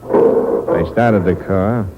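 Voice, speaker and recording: An actor playing a cold, hard-boiled impostor who narrates the story in a terse, clipped manner, in a 1950s radio drama. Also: male voice, deep voice, extremely slow. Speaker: narrator and lead. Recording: muffled old radio recording with hiss.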